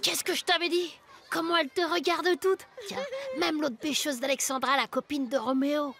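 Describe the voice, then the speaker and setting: An actress voicing a cheeky, excitable young schoolboy sidekick in a cartoon. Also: female voice, high voice, moderate pace, over music. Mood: teasing. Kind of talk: animated cartoon voice acting.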